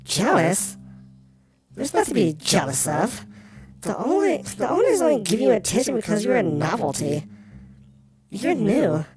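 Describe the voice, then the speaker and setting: A woman's voice: fairly high pitch, very animated, moderate pace, pauses often. A woman voicing a dramatic, jealous and insecure female doll in a visual novel game. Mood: defensive, scornful. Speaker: voice of a toy character. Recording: music playing behind the voice. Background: music.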